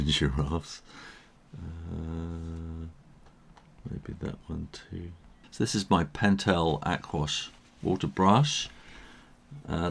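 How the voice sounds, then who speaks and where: Man, tired croaky voice, very deep, unhurried drawing tutorial. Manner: relaxed, thinking aloud with soft chuckles. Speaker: illustrator teaching drawing. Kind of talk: casual drawing tutorial narration.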